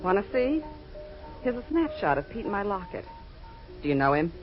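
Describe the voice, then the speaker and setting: An actress playing a tough, guarded nightclub singer in a 1940s radio noir, cool and sardonic. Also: female voice, mid-range voice, very animated, normal speed, natural voice. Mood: wistful, wry. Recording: dull old radio recording, faint music.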